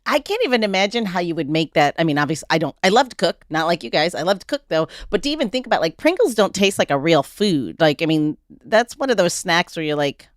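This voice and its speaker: A woman's voice: fairly low pitch, lively intonation, quick pace, few pauses, no echo, clean studio sound. A woman hosting a casual podcast chat in a complimentary, encouraging manner. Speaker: podcast host.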